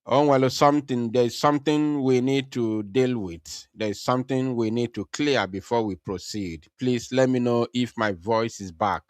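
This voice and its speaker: A man's voice: medium pitch, fairly even intonation, unhurried, hardly any pauses, a little mellow, no room sound. A man hosting a live talk show with callers, often repeating phrases, restarting sentences and switching into Igbo. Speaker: show host taking callers.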